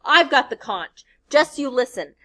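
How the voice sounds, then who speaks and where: A woman voicing a shrill indignant schoolboy. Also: lively intonation, measured pace, bright, forceful tone, exaggerated voice. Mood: lecturing. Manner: shrill, scolding, breathless. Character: fretful, indignant schoolboy stranded on an island.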